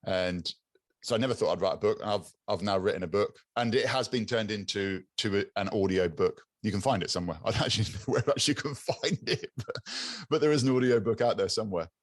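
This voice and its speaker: Low, raspy British man on a call. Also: fast, no echo, clean studio sound. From England. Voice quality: low, raspy yet clear voice. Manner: repeats words while searching for them. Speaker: podcast guest. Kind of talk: casual interview chat. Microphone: video call.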